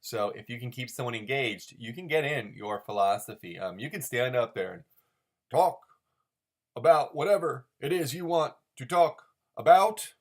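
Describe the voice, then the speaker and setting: A man speaks in a medium-pitched voice, giving rambling, unscripted commentary as a solo host. He treats things with mock seriousness, overanalyzing as he goes and repeating phrases to drive them home. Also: lively intonation, normal speed. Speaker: host of a comic-strip commentary show.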